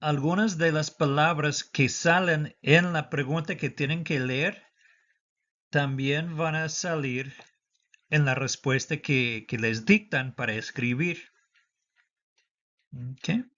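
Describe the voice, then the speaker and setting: A man's voice: fairly high pitch, slow, pauses often, a bit bright, muffled recording. A man teaching a lesson for the citizenship test, dictating patiently and switching between Spanish and English.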